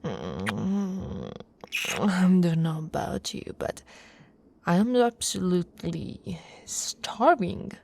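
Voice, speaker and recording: A woman from Italy playing an affectionate, teasing female orc warrior in an intimate, soft-spoken ASMR roleplay. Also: fairly low pitch, wildly swooping pitch, slow, creaky, full character voice. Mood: teasing, competitive. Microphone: close, dry microphone.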